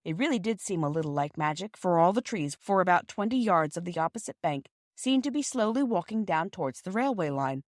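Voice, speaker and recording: A woman narrates a classic children's storybook in a fairly low voice. The recording is dry and close, with very little room sound. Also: expressive, brisk, hardly any pauses, no room sound. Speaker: audiobook reader and podcast host.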